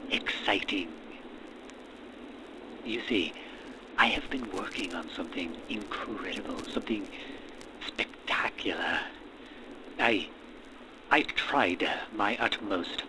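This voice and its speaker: A man playing a manic, ambitious mad scientist in a gothic horror audio roleplay, speaking in florid, formal, theatrical phrases with dramatic pauses. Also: lowish voice. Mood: eager, courteous. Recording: steady rain and thunderstorm noise behind. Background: rain and thunderstorm ambience.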